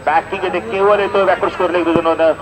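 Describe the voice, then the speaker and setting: A man's voice: high voice, fairly even intonation, fast talker, noisy background. A man with a Maharashtrian accent doing live cricket commentary and announcements. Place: outdoor cricket ground. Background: crowd.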